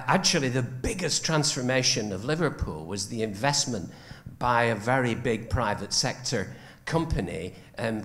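A man's voice: lowish voice, fairly bright tone. An older man from the north of England speaking from the audience seats of a lecture theatre on a handheld mic, rambling with many restarts. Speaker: audience member. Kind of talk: audience question. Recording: echoey lecture hall.

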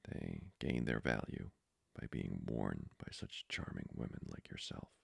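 A man playing a courtly, flirty vampire lord hungry for blood in an intimate ASMR roleplay, breathy and formally polite. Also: extremely low pitch, dry recording, studio quality, heavily acted. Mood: courteous, flirtatious. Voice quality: breathy, whispery, gravelly. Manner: formal, slow, seductively polite.

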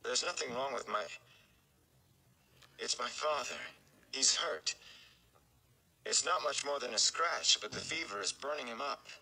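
A man voicing an anxious young farm man in a storybook reading, halting, urgent and pleading. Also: medium pitch, animated, creaky, pushed and bright, pitched above the performer's own voice, heavily acted, poor audio.

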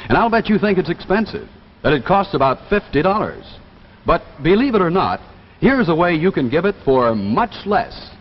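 An American man in his thirties delivering a polished, emphatic 1950s television sales pitch as a commercial announcer. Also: very animated, moderate pace. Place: television studio. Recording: dull vintage TV soundtrack, reverberant, faint noise.

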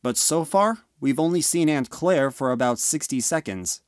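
A man's voice: a little high, expressive, moderate pace, no room sound, studio quality, lightly acted. A man playing a moody, offhand teenage older brother in an amateur audio drama. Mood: resentful.

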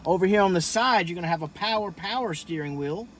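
High-voiced folksy man. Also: fast talker, a bit bright. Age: middle-aged. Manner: folksy, informal. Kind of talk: casual vehicle walkaround. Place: inside a pickup truck cab in a parking lot. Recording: camera audio with cabin noise.

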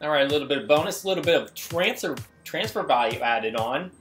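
A man's voice: on the high side, lively intonation, quick pace, fairly bright tone, faint music behind. A man hosting a gaming video, giving slangy, exclamatory, self-hyping play-by-play of his own game. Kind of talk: excitable gameplay commentary.

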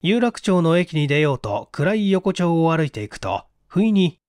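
A male reader narrates a mystery story in scripted literary style. His voice is high for a man, with animated intonation. The recording is very dry and close to room-free. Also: moderate pace, no room sound. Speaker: audiobook reader.

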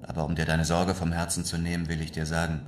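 An actor playing a shrewd, composed European traveller in a radio drama, speaking in a measured, assured way. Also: male voice, low-pitched, monotone, roomy sound, slight background noise, lightly acted. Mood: firm, cool. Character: confident, shrewd European traveller, narrating his adventures. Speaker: narrator and lead actor. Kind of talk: radio drama acting and narration.

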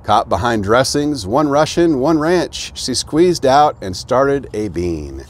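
A middle-aged American man reading a children's rhyming storybook aloud in an expressive storytelling manner. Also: mid-range voice, takes it slowly. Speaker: fire chief, guest reader. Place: outdoors beside an open fire truck.

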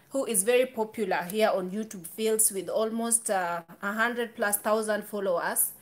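A woman in her thirties talking candidly to camera in a small room, chatty, with restarts and asides. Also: mid-range voice. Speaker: YouTuber. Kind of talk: casual talk to camera.

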